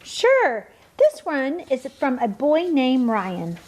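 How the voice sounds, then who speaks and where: A woman playing a gentle, encouraging mother who introduces callers in a radio play. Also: high voice, huge pitch swings, takes it slowly, exaggerated voice, rough recording. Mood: supportive. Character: supportive, calm mother producing her daughters' podcast.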